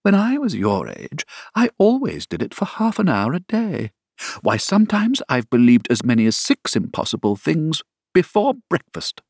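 A man's voice: fairly high pitch, wildly swooping pitch, moderate pace, vocal fry, darkish tone, breathy edge, no room sound, studio quality, pitched above the performer's own voice, heavily acted. A man putting on a swooping, eccentric old storybook queen. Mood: boastful. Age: older. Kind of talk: storybook character voice.

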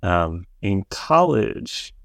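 Low gravelly man chatting casually. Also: sing-song, drawn-out words, dry recording, studio quality. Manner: rambling, self-correcting storyteller. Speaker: podcast co-host. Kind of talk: casual chat.

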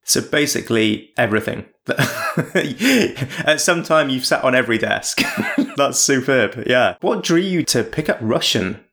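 A man's voice: mid-range voice, expressive, measured pace, fairly bright tone. A man hosting an interview, chatty and friendly, tossing in tag questions and laughing easily. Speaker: host.

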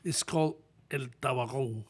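An older man from the Dominican Republic, a musician answering interview questions in a relaxed, plain-spoken way with short answers. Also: mid-range voice, moderate pace, breathy edge. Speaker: guest musician. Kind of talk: casual interview.

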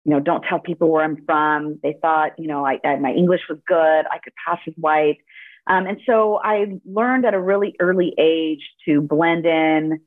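A woman's voice: sits low, dry recording. A woman in her forties, a podcast guest who grew up speaking Spanish, telling her story in an upbeat, fluent way with a few fillers. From Los Angeles, United States. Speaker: podcast guest, corporate lawyer and author. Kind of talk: interview conversation. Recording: dry, phone-like narrow sound.

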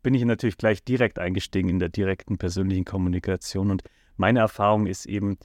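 A man co-hosting a casual talk podcast who speaks in a measured, reflective way. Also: sits low, fairly even intonation, a little mellow, dry recording, studio quality. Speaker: podcast co-host.

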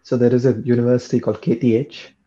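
Dark-voiced young man. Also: mid-range voice, little pitch movement, fast, dull audio. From India. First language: Tamil. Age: young adult. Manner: repeats words and restarts as he thinks. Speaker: guest, PhD student. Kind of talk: casual interview chat.

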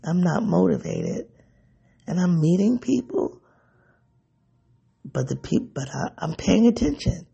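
A man with a raspy, tired voice talking through a personal reflection to his viewers, musing and rambling as he searches for answers. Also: high-pitched, sing-song, unhurried, pauses often, dark tone, lo-fi sound. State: sleepy, voice going. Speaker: vlogger.

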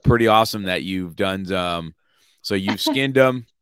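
A man's voice: deep voice, unhurried, clean tone, no room sound, clean studio sound. A man hosting an interview, talking in a rambling way with many restarts and chuckles. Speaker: podcast host. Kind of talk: casual interview chat.

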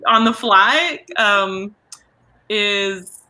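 A woman from Germany, a voice actor, chatting casually as an interview guest in an animated, halting way. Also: medium pitch, over a noisy crowd. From Munich, Germany.

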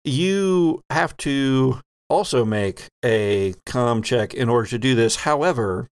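A man's voice: medium pitch, expressive, slow pace, clear, resonant tone, no room sound, studio quality. A man acting as game master of a tabletop RPG, narrating and ruling in a playful, teasing way.

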